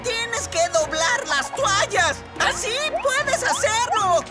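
A tiny, jittery cartoon squirrel, extremely high and wildly swooping. The delivery is dramatic and exclamatory but slow-paced, over loud music and a crowd. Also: sing-song, full character voice. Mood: urgent. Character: small anxious, excitable cartoon squirrel, loyal friend. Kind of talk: cartoon voice acting.

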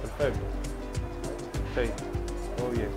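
A man's voice: mid-range voice, steady tone, slow. A middle-aged man, a horse groom, explaining his work in an interview at a wooden stable. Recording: music laid over location speech.

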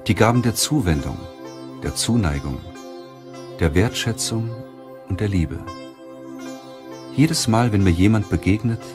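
A man narrating a self-help audiobook in a measured, deliberate way. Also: low voice.

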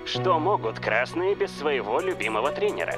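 A man voicing a dramatic TV sports news announcer in an animated cartoon. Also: on the high side, moderate pace. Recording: music behind the voice.

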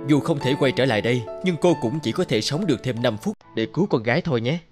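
Celestial gatekeeper, man acting, stern, formal, fast-paced, mid pitch, animated drama, music underneath. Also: lightly acted. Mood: solemn. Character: calm, stern male guardian of heaven's gate.

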